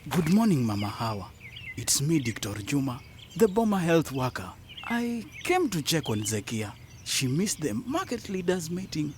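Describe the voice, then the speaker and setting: A man's voice: a little high, huge pitch swings, moderate pace, noisy background. A man playing a polite, friendly village health worker in a radio drama, speaking courteously and formally. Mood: polite, inquiring.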